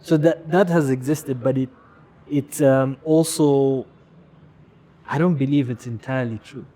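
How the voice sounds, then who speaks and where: A man from Rwanda, a fashion brand founder, answering interview questions candidly in a halting way with frequent restarts. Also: mid-range voice, mellow.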